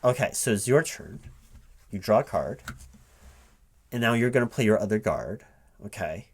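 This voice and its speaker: A man in a spoken, unwhispered ASMR roleplay, talking casually and haltingly to an unheard partner as if puzzling things out aloud. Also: lowish voice, animated, slightly rough. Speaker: recruiting manager interviewing a gamer in a one-sided roleplay. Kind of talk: spoken ASMR roleplay, casual one-sided conversation, not whispered.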